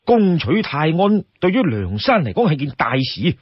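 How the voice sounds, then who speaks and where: Animated male storyteller, slightly husky. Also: fairly high pitch, moderate pace, no echo, dull audio. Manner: traditional storytelling with acted character voices. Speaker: audiobook storyteller. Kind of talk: dramatic narration of a classic adventure tale.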